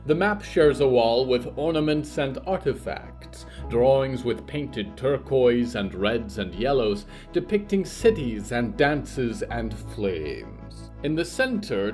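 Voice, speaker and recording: Guy narrating spooky fiction, slow n theatrical, very lively pitch, music behind him. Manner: theatrical, deliberate. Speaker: narrator and host. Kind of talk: scripted horror fiction narration.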